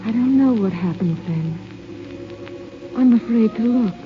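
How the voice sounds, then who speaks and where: An actress playing a gentle, anxious young woman in love in an old radio drama, speaking tenderly and hesitantly. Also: on the high side, animated, a little slow, music in the background. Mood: tender pleading. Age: young adult. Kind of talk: 1930s-40s radio drama acting. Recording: dull old radio broadcast.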